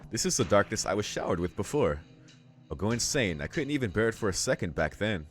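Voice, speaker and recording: A man's voice: lowish voice, big pitch swings, a little fast. A man hosting a let's read, narrating visual novel text aloud and adding casual reactions of his own. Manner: casual, swearing asides between read lines. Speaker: let's play host, reader. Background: game music.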